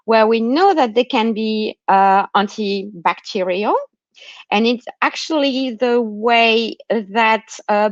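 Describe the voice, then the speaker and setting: A woman's voice: on the high side, dry recording. A woman researcher with a non-Australian accent giving an explanatory academic talk online, often checking understanding with short tags. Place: remote online talk during lockdown. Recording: muffled lo-fi online call audio.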